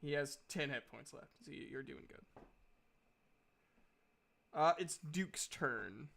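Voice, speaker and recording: A man's voice: a little high, slow, vocal fry, fairly bright tone. A man acting as game master, casually narrating a tabletop game and explaining rules.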